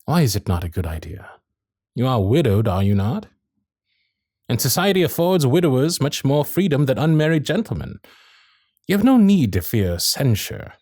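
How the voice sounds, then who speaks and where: Man playing a genteel gentleman, animated delivery. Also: mid-range voice, normal speed, no room sound, studio quality. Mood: earnest, persuasive. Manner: formal, genteel, old-fashioned phrasing with theatrical flourishes. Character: courteous, ardent Victorian gentleman suitor, smitten and frank. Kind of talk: ASMR romantic period roleplay acting.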